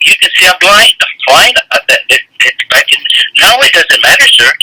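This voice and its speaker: A man in his eighties, a military veteran, talking defensively on the phone in a halting, repetitive, emphatic way. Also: high voice, very animated, pushed and bright. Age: 80s. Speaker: military veteran being confronted. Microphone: telephone. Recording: noisy, clicky phone call recording.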